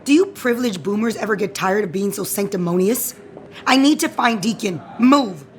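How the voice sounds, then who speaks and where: A woman's voice: medium pitch, expressive, normal speed, a little hiss or hum, close to an everyday voice. An actress playing a brash, spiteful young woman in an audio soap opera, sarcastic and confrontational. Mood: furious, defiant. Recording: music behind the voice.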